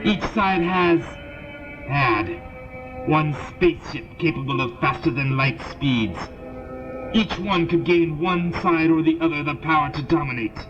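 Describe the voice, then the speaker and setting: Audio drama alien zealot soldier, male actor: higher-pitched, declamatory, vengeful, on muffled audio with prominent music. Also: measured pace, lightly acted. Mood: solemn, bitter. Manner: formal, grandiose, declamatory speech. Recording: dull lo-fi audio drama, loud music underneath.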